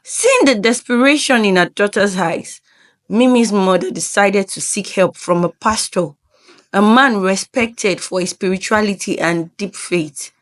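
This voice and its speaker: A woman's voice: medium pitch, lively intonation, unhurried. A woman narrating a scripted folktale in a solemn, dramatic storyteller's manner.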